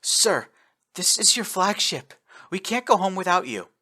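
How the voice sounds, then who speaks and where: An urgent young woman officer, voiced by a male narrator, pitched well above his own voice and pressing hard. She calls out orders and pleads in a raised, bright tone in a storybook reading. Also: moderate pace. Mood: pleading.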